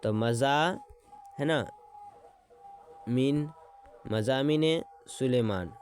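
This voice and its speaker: A man teaching an exam-prep lesson, repeating words slowly as he writes them out and checking in with his students as he goes. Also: mid-range voice, darkish tone, slight background noise. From Bihar.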